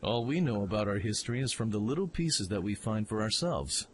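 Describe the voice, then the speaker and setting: Man voicing eager young mech pilot, brash, very animated intonation, informal, audio drama, slight ambient noise. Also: fairly low pitch, fairly quick, close to an everyday voice. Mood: suspicious, musing. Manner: excitable, informal, impulsive. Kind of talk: fan audio drama acting.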